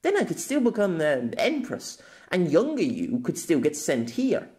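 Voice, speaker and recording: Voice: mid-range voice, wildly swooping pitch, moderate pace, a little mellow. A storyteller voicing a proud, playful young noblewoman in an audio novel, with arch, formal diction. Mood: playful, indignant.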